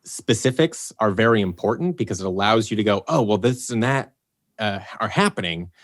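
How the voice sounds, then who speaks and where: A man's voice: lowish voice, lively intonation, a little fast, dry recording, studio quality. A man talking casually in an interview as an audio drama director, explaining with acted-out examples.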